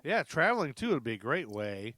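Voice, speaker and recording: Upbeat podcast host with a dry close sound. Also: male voice, medium pitch, very animated, a little fast, pushed and bright, no echo. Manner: upbeat, laughs easily, chatty with restarts. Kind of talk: casual chat.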